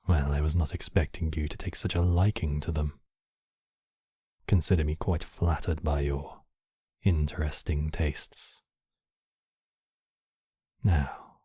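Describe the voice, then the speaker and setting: Man acting a very deep, husky demon king. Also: mellow, no echo, clean studio sound, full character voice. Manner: slow, regal, formal phrasing. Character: possessive horned demon king, commanding yet compassionate. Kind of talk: intimate ASMR roleplay acting.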